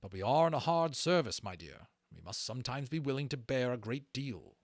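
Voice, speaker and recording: Principled officer, performed by a man; formal, firm, courteous, raised pitch, audiobook drama. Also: lively intonation, fairly quick, no echo, studio quality, lightly acted. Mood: grave, gentle. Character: stern, dutiful, courteous male dragon-riding captain.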